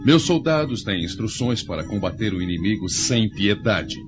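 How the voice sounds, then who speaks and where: A man's voice: on the low side, very animated, moderate pace. A man acting as a ruthless young Roman prince and commander, haughty and declamatory, in a radio drama. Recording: muffled recording with music behind.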